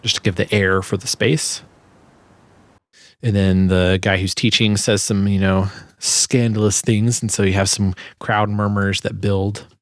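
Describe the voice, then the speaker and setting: Male sound designer, low voice with noticeable creak, informal walk-through delivery. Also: flat delivery, measured pace. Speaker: sound designer presenting his work. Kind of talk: casual explanatory walkthrough.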